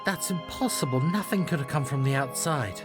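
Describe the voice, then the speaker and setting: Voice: mid-range voice, moderate pace, music in the background, lightly acted. An actor playing a polite, timid female flight attendant in an audio drama. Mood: shaken.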